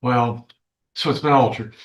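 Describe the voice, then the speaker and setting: A man arguing as a defense lawyer in court, rephrasing haltingly as he goes. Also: medium pitch. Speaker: defense attorney. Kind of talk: courtroom objection.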